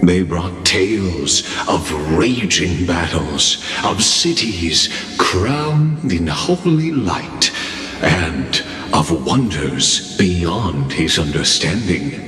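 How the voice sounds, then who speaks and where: An actor playing a grave storyteller narrating an epic fantasy legend in a game, measured and portentous. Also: male voice, deep voice, lively intonation, a bit bright, exaggerated voice. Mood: solemn. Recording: echoey hall reverb, music behind.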